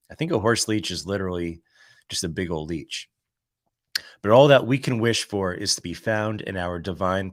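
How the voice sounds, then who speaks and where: A man who is under the weather with a cold, reading a daily devotional aloud. He pauses between passages to share relaxed, conversational thoughts. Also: low-pitched, no room sound, studio quality. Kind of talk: devotional reading with casual commentary.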